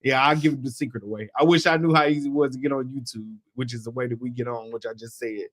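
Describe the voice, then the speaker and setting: Male sports-podcast co-host, mid pitch, easygoing and chatty, dry close sound. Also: fast, dry recording. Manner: relaxed, chatty, laughs easily, restarts words. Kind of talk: casual podcast chat.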